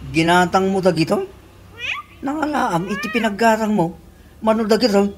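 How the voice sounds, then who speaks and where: A woman's voice: mid-range voice, moderate pace, noisy background, natural voice. A woman playing a hungry, grumbling construction worker in a comedy radio drama, with an Ilocano accent and a complaining manner. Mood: irritated. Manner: whining, complaining.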